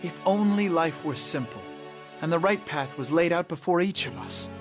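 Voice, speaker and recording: Noble, higher-pitched young king voiced by a man. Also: animated, close to an everyday voice. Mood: solemn, reflective. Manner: formal, measured, earnest. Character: noble, idealistic young male king, composed and earnest. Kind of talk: English video game voice acting. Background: music.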